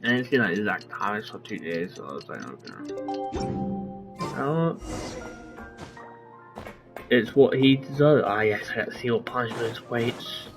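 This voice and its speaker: A man's voice: mid-range voice, lively intonation, a little slow, long pauses. A man doing casual gameplay commentary, reading dialogue aloud and muttering as he trails off. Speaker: game streamer reading dialogue along. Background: game music.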